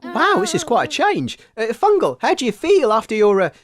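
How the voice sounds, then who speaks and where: A woman's voice: on the high side, big pitch swings, brisk. A woman co-hosting a comedy radio show in a chirpy presenter manner that often turns mock-shocked. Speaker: co-host presenter.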